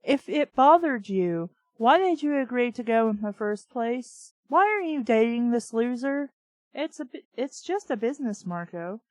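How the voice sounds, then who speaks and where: Woman, high voice, dry close recording, reading aloud with ranting commentary, blunt, exasperated, sweary. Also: very animated, unhurried, dry recording. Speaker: host of a bad fiction reading show.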